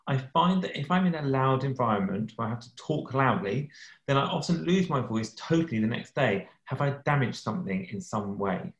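A man's voice: medium pitch, lively intonation, normal speed, muffled recording. A man hosting a podcast, chatting in a loose, casual way with plenty of fillers. He reads listener questions out to his guest, and a roomy echo hangs around his voice. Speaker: podcast host and doctor.

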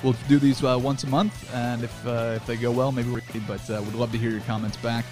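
A man's voice: mid-range voice, level tone, brisk, music in the background. Rambling male podcast host. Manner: loose, rambling, filler-heavy. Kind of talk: casual podcast chat.

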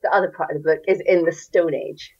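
A woman's voice: on the low side, fairly even intonation, fast talker, muffled recording. A woman with a Northern Irish accent, an author answering interview questions with enthusiasm and a storytelling lilt. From Northern Ireland. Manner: enthusiastic, warm storytelling with laughs. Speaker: children's author.

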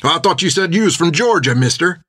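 Red-faced drinker in a seafaring audiobook, played by male narrator: gruff, rustic, raised pitch. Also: animated, brisk, a bit bright. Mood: gossipy, relishing.

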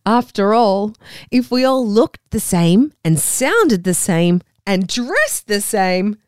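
Australian woman, kids' podcast host, bouncy and expressive pitch, bone-dry studio sound, speaks right to the children. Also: slow, clear, resonant tone, no room sound. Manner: talks directly to young listeners, upbeat and encouraging. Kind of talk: scripted kids' show presenting.

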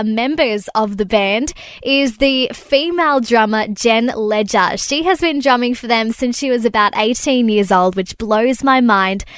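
A woman's voice: a little high, smooth, no echo, clean studio sound. A woman hosting a radio interview in a bubbly, upbeat way. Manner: bubbly, upbeat, laughs easily. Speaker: radio show host.

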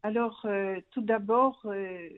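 A woman's voice: medium pitch, takes it slowly. Middle-aged woman on a thin phone line. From Brussels, Belgium. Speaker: magazine founder, interview guest. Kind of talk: radio interview by phone.

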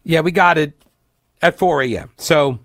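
A man hosting a talk radio show, raspy and stuffed up from a cold, reading news with sarcastic asides. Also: fairly high pitch, moderate pace, a bit bright, no echo, studio quality. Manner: sarcastic, opinionated, reads news aloud with asides. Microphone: studio microphone, dry.

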